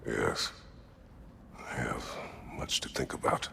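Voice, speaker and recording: An actor playing a brooding, guilt-ridden warrior in a video game, terse and stern, with a gravelly voice. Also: male voice, takes it slowly, pushed and bright, in a whisper. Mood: troubled, reflective. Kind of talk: dramatic video game acting.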